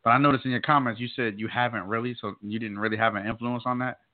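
A man's voice: fairly even intonation, fast talker. A man on a talk show panel, giving his opinions in casual, conversational speech. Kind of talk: casual discussion. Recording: muffled low-bandwidth call audio.

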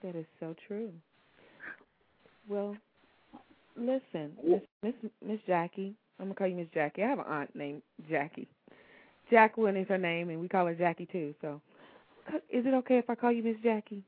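A woman hosts a call-in radio talk show in a relaxed, chatty manner. Her voice sits mid-range and sounds dry and close. It comes through a narrow, tinny phone line. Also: medium pitch, no echo. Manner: chatty, laughs easily, slows to read out numbers. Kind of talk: casual call-in radio chat.

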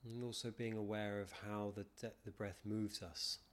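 A man leading a guided meditation as a teacher, calm and measured, leaving long pauses. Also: lowish voice. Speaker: meditation teacher, podcast guest. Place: non-soundproofed room.

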